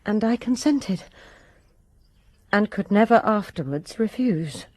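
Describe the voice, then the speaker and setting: A woman's voice: medium pitch, lively intonation, slow, a little mellow, no echo. A woman playing a resentful lady's maid who gives her testimony with bitter emphasis in a radio drama.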